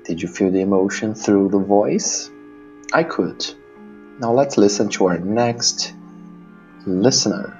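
A man's voice: lowish voice, slow pace, pauses often, a little mellow, soft background music. A man hosting casually, introducing readers' recorded contributions. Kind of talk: casual hosting between clips.

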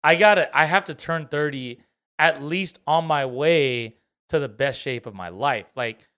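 Casting: man in his thirties, slightly high voice, casual and swearing podcast host. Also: measured pace, fairly bright tone. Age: 30s. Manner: loose and profane, laughs often. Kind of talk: casual chat.

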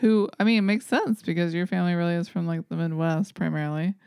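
A chatty young woman co-hosting a podcast, telling stories with lots of fillers and asides. Her voice is smooth and a touch low, recorded on a dry close mic. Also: fairly even intonation, fast talker, a little mellow, dry recording. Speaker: podcast co-host. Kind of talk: casual chat.

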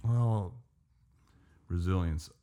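A man with a deep voice chatting casually as a podcast co-host, laid-back and swearing now and then. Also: drawn-out words, mellow. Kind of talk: casual chat.

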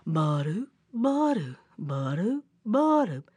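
Woman voicing a slow, breathy, low storybook bear. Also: big pitch swings, a bit bright, no room sound, full character voice. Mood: ambling, carefree. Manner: slow, simple, rustic folk speech. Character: slow, gullible, good-natured male bear. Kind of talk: animated folk-tale storytelling.

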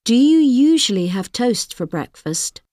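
A woman's voice: fairly high pitch, sing-song, unhurried, a little mellow, no room sound, clean studio sound. A woman reading example sentences for language learners, each one carefully enunciated. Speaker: course audio speaker. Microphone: dry studio mic.

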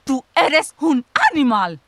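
A defiant, spiteful sister, with a high and very animated voice. Her delivery is cutting and heated radio-theatre dialogue, recorded dry and close. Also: takes it slowly, no echo, full character voice. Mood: hateful.

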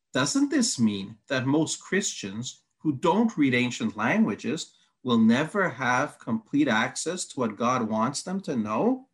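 A man whose first language is Hungarian, a Bible teacher reading long quotes aloud and laying out his answers point by point. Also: medium pitch. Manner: methodical, reads long quotes, counts points. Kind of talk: teaching conversation.

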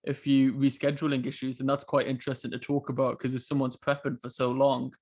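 A man's voice: medium pitch, flat delivery, fast talker, a little mellow, husky. A man hosting a podcast, welcoming a guest and asking questions with many ums and uhs. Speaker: podcast host. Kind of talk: casual interview.

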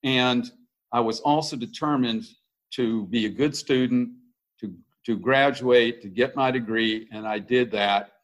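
A man's voice: medium pitch, fairly even intonation, measured pace, rough recording. A man past sixty speaks as a podcast guest, candid and a little self-deprecating. He tells his stories in loose, conversational runs, often restarting his sentences mid-thought. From United States. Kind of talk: casual conversational interview.

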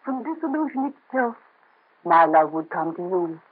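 An actress playing a devoted young French bride, speaking tenderly in a 1930s radio drama style. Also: female voice, lowish voice, big pitch swings, moderate pace, husky. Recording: tinny, scratchy vintage radio broadcast.

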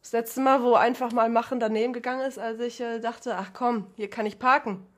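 A woman's voice: a little high, steady tone, a little fast, a bit bright. A young woman, a guest on a podcast, chatting casually and laughing often between her stories.

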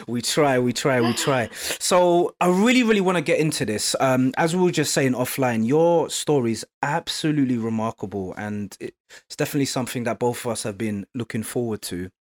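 A man's voice: medium pitch, expressive, moderate pace. A man hosting a podcast, giving introductions and putting questions to a guest in a conversational way. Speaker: podcast co-host and interviewer. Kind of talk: scripted podcast introduction, then conversational interviewing.

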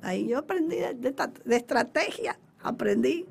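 A woman's voice: sits high, expressive, normal speed, creaky, husky. A middle-aged or older woman with a Dominican Spanish accent, telling her story emphatically in an interview and acting out remembered exchanges. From Dominican Republic. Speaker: interview guest, former representative of her country in China.

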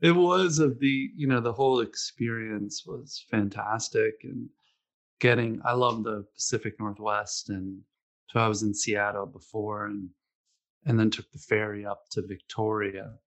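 A man's voice: on the low side, fairly even intonation, a little slow. A man hosting a casual interview, talking loosely with many fillers and quoted asides. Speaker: podcast host, former runner.

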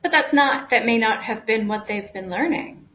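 A woman talking about language learning in a thoughtful, halting way, often repeating words. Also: fairly high pitch. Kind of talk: casual conversation. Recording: dull lo-fi audio.

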